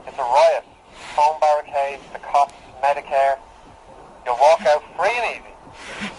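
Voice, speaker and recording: An actor playing a brisk male heist crewman over a radio link in a radio drama. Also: a little high, lightly acted. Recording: very noisy radio link with clicks.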